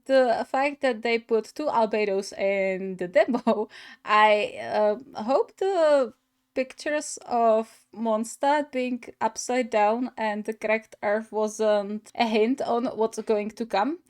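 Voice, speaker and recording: Gaming host, woman, highish voice, unhurried and halting, casual off-the-cuff reactions. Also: clear, resonant tone.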